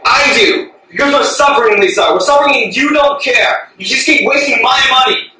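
Guy acting worn down husband arguing, pleading and accusing, sharp tense voice, distant in big echoey room. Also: high voice, moderate pace. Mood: desperate anger. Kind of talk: dramatic acted argument. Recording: very echoey room, far from mic.

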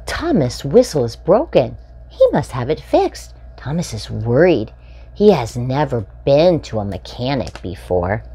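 A woman in her forties reading a children's picture book aloud, expressively and with deliberate phrasing for young children. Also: a bass voice, very animated, mellow, a little hiss or hum. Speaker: storytime host reading aloud. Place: small furnished room indoors.

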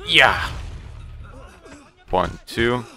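A man's voice: medium pitch, sing-song, drawn-out words, sharp tone. A man giving casual, flippant gameplay commentary, with playful little sound effects. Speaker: gaming video host.

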